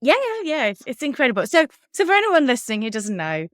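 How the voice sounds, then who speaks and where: Chatty English-accented woman, smooth voice. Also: high voice, huge pitch swings, fast, a bit bright, no echo, studio quality. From Switzerland. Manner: chatty, candid, laughs often. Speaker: podcast co-host. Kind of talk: casual chat.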